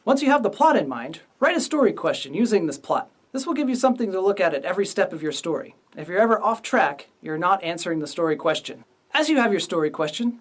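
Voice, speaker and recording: A man's voice: sits high, distant mic. A man hosting a casual writing lesson as the instructor. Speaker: writing instructor and series host.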